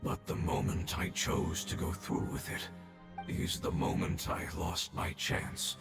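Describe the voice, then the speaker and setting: An actor playing a dying ancient male creature who speaks in a hushed, gravelly, solemn way in a game cutscene. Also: close to an everyday voice. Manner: solemn, measured farewell. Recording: echoey voice over game music.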